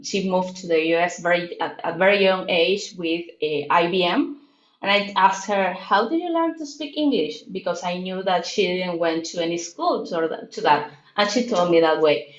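A woman's voice: mid-range voice, muffled recording. Latin American woman in an echoey room. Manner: reflective, with many fillers and restarts. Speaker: guest. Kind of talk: casual interview talk.

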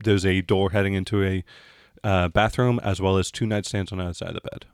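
A man's voice: deep voice, flat delivery, fairly quick, clear, resonant tone, dry recording, clean studio sound. A man narrating a tabletop role-playing game as game master, casually describing scenes and searching for words as he goes.

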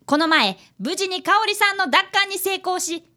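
A woman's voice: extremely high pitch, animated, moderate pace, pushed and bright, exaggerated voice. A woman playing an earnest, polite fifth-grade boy detective apprentice in a radio drama, who also narrates. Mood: earnest narrating.

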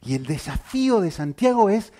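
Animated preacher. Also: male voice, high voice, normal speed. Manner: animated, rhetorical questions to the congregation. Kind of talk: sermon.

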